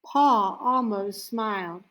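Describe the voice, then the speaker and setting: Very slow-paced woman reading a storybook. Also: mid-range voice, a bit bright. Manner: unhurried, deliberate phrasing with frequent pauses. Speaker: storybook reader. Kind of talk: scripted children's story read-aloud.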